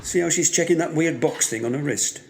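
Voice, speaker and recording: A man's voice: on the high side, fairly quick, slight background noise, lightly acted. An actor playing a wry, guarded widower in his sixties with dry, curt, formal delivery in an audio drama. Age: 60s. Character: gruff, wry 67-year-old widower, guarded and grieving.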